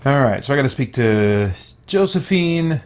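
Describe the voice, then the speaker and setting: A man's voice: medium pitch, expressive, normal speed. A man hosting a gaming video, rambling casually and thinking aloud as he plays. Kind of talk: casual roleplay game commentary.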